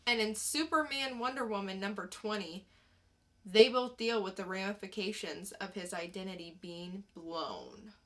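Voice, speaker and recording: A woman's voice: medium pitch, normal speed. A woman co-hosting a podcast, casually reviewing comic books and reading short blurbs. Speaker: podcast co-host.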